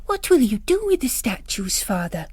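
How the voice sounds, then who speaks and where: A woman's voice: a little high, sing-song, moderate pace, breathy edge, no room sound. A woman voicing a pious, anxious, devoted female housekeeper, earnest and fretful, in a literary drama. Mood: anxious pleading.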